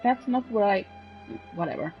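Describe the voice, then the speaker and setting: A woman reads game dialogue on a livestream with a lively, varied pitch. The sound is a little dull, with faint music behind. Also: moderate pace.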